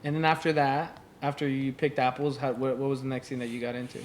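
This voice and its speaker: A man's voice: medium pitch, level tone, fast talker. A man hosting a podcast, asking casual, friendly follow-up questions. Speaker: podcast host. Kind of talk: casual interview chat.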